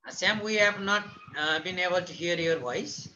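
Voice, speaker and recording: A Nepali-accented man with a high, very bright and forceful voice moderates an online student panel. He speaks haltingly and often repeats phrases for emphasis. The video-call audio carries a light, audible noise. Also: muffled recording. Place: indoors, plain-walled room. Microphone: computer mic on a video call.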